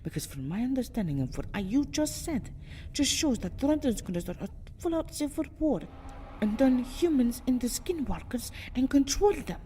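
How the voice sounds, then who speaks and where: A woman playing a proud, distraught, centuries-old vampire girl in an ASMR roleplay, theatrical, moving between breathy whispers and agitated speech. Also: high-pitched, very animated, normal speed, mellow, slight background noise. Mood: alarmed, distraught. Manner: theatrical, often dropping into breathy whispers, swelling into agitated outbursts. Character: anguished two-hundred-year-old vampire girl, proud, fiercely protective, distraught. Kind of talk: ASMR roleplay monologue, melodramatic acting.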